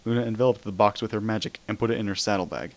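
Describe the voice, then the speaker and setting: A man's voice: fairly low pitch, steady tone, rapid-fire. A man narrating a dramatic reading of comic fan fiction.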